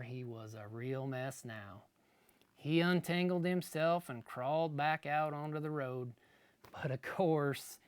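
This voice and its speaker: A storyteller reading a children's tale aloud in a folksy, expressive style, with dramatic emphasis and deliberate phrasing. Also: mid-range voice, clear, resonant tone, dry recording.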